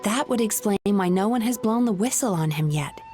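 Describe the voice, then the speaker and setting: A woman voicing a composed young female healer in a video game, earnest and firm, speaking in a measured, articulate way. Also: medium pitch, animated, darkish tone, soft background music, lightly acted. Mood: thoughtful. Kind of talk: video game character acting.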